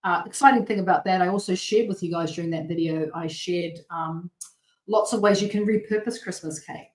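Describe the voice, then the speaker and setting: A woman's voice: lowish voice, fairly quick, roomy sound, poor audio. An Australian woman in her late forties hosting a healthy cooking show, chatting in a bubbly, rambling way with lots of restarts and laughs. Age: late 40s. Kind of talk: chatty live-stream greetings and updates.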